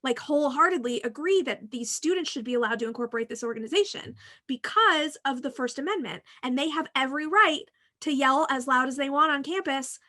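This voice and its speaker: A woman's voice: high-pitched, a little fast, bright, forceful tone. An American woman hosting a casual talk, explaining her opinions frankly and emphatically in a discursive way. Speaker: podcast host and university teacher.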